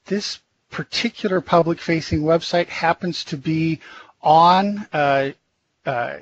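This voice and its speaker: A man giving a live software demonstration, relaxed with stumbling restarts and uhs. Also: fairly high pitch, slow pace. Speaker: technical presenter giving a software demo. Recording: dull, faintly hissy web-meeting audio.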